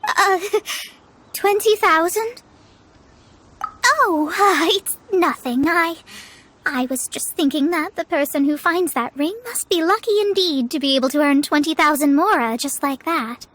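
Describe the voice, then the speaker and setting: A woman's voice: very high-pitched, a little slow, a bit bright. A woman voicing a proud young female astrologer in an anime game, formal and self-important. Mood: flustered. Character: proud young female astrologer, self-styled master, haughty yet flustered. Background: game music.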